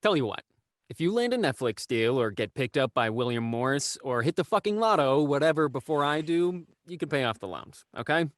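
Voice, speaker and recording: Guy doing a cocky broke big brother, crude and blunt, lively swings in his voice, dry sound. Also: mid-range voice, fairly quick, no echo, natural voice. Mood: gruff. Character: brash broke young man, protective older brother.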